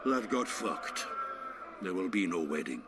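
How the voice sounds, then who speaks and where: A man's voice: deep voice, sing-song, unhurried, noisy background. A man playing a brash, bawdy dwarf, a colourful and boisterous storyteller in a fantasy game. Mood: indignant, bawdy. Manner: colourful, swearing, hearty storyteller. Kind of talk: dramatic game dialogue.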